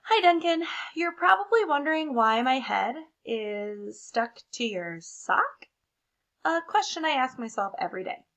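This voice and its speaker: A woman's voice: sits high, sing-song, a little slow, a bit bright, pitched above the performer's own voice, heavily acted. A woman voicing an exasperated, sarcastic turquoise crayon complaining in a storybook read-aloud. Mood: exasperated, wry. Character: exasperated turquoise crayon stuck to a smelly sock.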